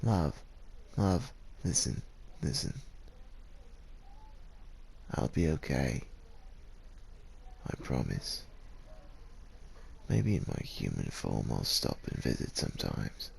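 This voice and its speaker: A man plays a male dragon devoted to a human love. The voice is rock-bottom deep and husky, and the words come slowly. He is tender, sorrowful and pleading, in the intimate manner of ASMR roleplay. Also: wildly swooping pitch, full character voice. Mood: gently pleading.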